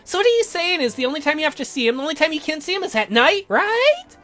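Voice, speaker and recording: Woman acting a flirty, high, swooping best friend. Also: very animated, quick pace, a bit bright, faint music behind. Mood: teasing, curious. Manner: theatrical, gushing, teasing. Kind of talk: visual novel read aloud in character.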